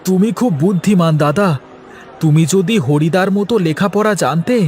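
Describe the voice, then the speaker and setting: A man acting as a young village customer in a cartoon, polite and deferential. He speaks in a very high voice for a man. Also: normal speed, a little mellow, some chatter behind, natural voice. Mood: polite, persuasive.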